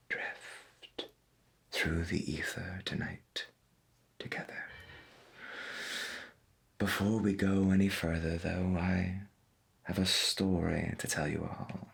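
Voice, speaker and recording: Man playing a hushed, deep-voiced late-night radio host. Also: full character voice. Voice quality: breathy, husky. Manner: slow, deliberate, folksy, half-whispered. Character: folksy, gentle veteran late-night pirate radio host. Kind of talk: soft-spoken ASMR roleplay as 1990s AM radio.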